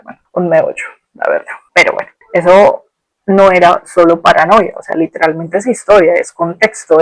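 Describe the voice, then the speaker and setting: A woman's voice: fairly low pitch, no room sound, poor audio. A woman with a Colombian Spanish accent telling a personal story as a podcast host, in a reflective, conversational and colloquial way.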